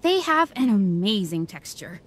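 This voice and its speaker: A woman's voice: mid-range voice, sing-song, a little slow, slight background noise. A woman playing a cheerful, polite young inn waitress in a video game, with a salesy, courteous manner. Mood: cheerful, promotional.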